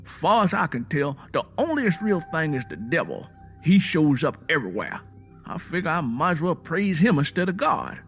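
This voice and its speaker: A man's voice: on the high side, very animated, normal speed, faint music behind, voice pushed up. A man voicing a stern, embittered American Southern man with a blunt, defiant rural way of talking, in a narrated story. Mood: bitter, defiant.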